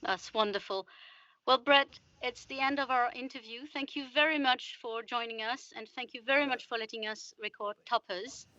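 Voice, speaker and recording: Bright, high-voiced woman interviewer. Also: moderate pace, dry recording, muffled recording. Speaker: interviewer, theatre company artistic director. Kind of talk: prepared interview questions.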